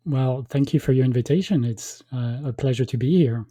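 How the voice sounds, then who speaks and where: A man who grew up in Belgium and speaks French as his first language, giving measured, thoughtful interview answers with frequent pauses. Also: mid-range voice, mellow, dry recording. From grew up in Belgium, born in France. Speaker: guest academic.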